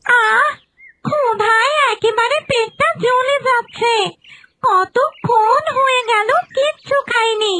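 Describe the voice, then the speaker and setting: A woman voicing a hungry, menacing female ghost in a cartoon, with drawn-out moaning speech. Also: extremely high pitch, bright, forceful tone, full character voice.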